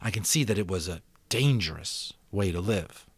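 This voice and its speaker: A man voicing an earnest, tentative young boy who speaks haltingly, searching for words, in a storybook reading. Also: sits low, animated, husky, no echo, heavily acted. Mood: wistful, hesitant.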